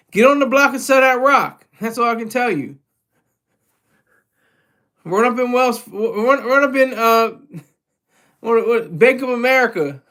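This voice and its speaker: A man's voice: very high-pitched, sharp tone. A man hosting a casual livestream, chatting to his audience in a loose, rambling way with frequent restarts and chuckles. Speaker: livestream host reading chat.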